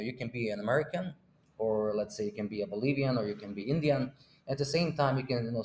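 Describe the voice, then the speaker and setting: A man's voice: medium pitch, darkish tone, echoey room, slight background noise. A man in his thirties, born in Bosnia and raised in Sweden, speaking in a thoughtful, casual way with many fillers and restarts as a podcast guest. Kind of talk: casual conversation.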